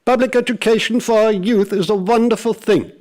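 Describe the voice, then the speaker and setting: An actor playing a supportive, stately American president in a radio play, with a formal, oratorical delivery. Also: male voice, high-pitched, fairly even intonation, brisk, darkish tone, close to an everyday voice. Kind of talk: radio theater dialogue.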